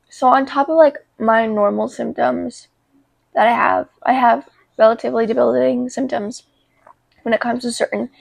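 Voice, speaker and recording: Congested young woman, high creaky voice. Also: a little mellow, dry recording. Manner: halting, informal, peppered with "like". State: sick with a cold, congested. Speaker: vlogger. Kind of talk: casual chat to camera.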